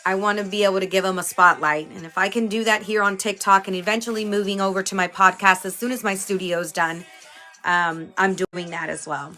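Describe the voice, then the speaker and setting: A woman's voice: medium pitch, steady tone, brisk, sharp tone, faint music behind. A woman from Houston, chatting casually as a livestream host, greeting viewers by name and sounding a little stuffy from a cold. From Houston, Texas.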